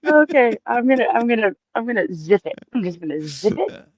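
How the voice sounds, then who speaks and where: A woman's voice: fairly high pitch, big pitch swings, fairly quick, no echo. A woman chatting casually as a livestream co-host, giggly and prone to repeating her phrases.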